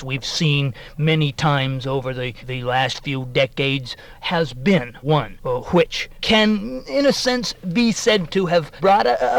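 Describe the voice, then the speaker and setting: A man playing an evasive, pompous corporate spokesman who stammers and rambles apologetically in a mock radio interview. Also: fairly high pitch. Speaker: interview guest.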